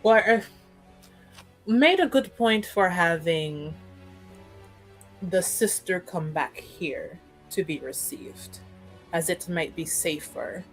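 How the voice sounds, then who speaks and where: Animated woman improvising over background music. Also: lowish voice, slow, pauses often, poor audio. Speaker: player in a tabletop roleplaying game show. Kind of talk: casual improvised roleplay and narration.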